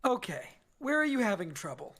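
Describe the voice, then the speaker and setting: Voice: mid-range voice, sing-song, fairly quick, a bit bright, pitched above the performer's own voice. A game master playing a stern male wizard instructor who sounds dry and exasperated.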